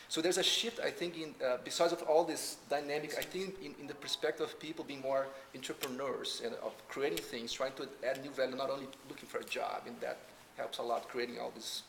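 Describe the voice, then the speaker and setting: A man's voice: medium pitch, creaky. A middle-aged man with a Brazilian accent, a native Portuguese speaker, talking conversationally and telling stories on a panel. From southern Brazil. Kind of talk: informal panel discussion, storytelling. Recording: roomy echo, faint background noise.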